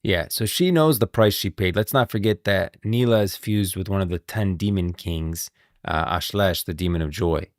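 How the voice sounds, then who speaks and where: Deep-voiced man streaming game lore. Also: normal speed, no echo, clean studio sound. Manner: informal, thinking aloud, insistent. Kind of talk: casual livestream commentary.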